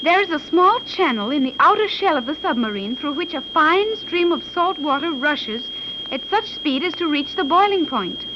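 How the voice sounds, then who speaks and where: A woman's voice: sits high, animated, moderate pace. A woman playing a precise, logical daughter in a 1930s radio serial, speaking formally and exactly. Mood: cool, instructive. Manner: formal, exact diction without contractions, didactic. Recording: muffled, hissy 1930s radio recording.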